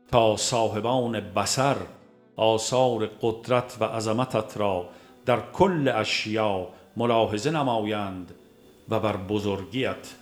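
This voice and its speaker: Man reading a formal audiobook over music. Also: on the low side. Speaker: audiobook narrator. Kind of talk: scripted audiobook reading of a religious book.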